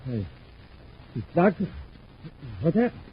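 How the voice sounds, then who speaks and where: A man's voice: a little high, big pitch swings, a little slow. A man playing a flustered, hesitant businessman in a 1950s radio drama. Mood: startled, evasive. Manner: hesitant, protesting innocence. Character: flustered businessman, villain's male lookalike cousin. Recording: muffled old radio recording, faint noise.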